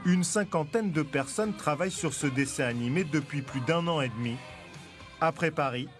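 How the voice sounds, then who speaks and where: A man narrating a television news report in a measured, scripted voice-over. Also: fairly high pitch, soft background music.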